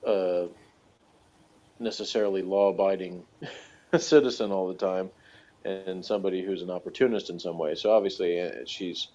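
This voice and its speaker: Male co-host, deep voice, loose rambling analysis, halting and chuckly, dull lo-fi sound. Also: steady tone, a little mellow. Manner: halting, thinks aloud, chuckles as talking. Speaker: podcast co-host reviewing a TV series. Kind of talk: casual chat, rambling analysis. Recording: dull, lo-fi audio.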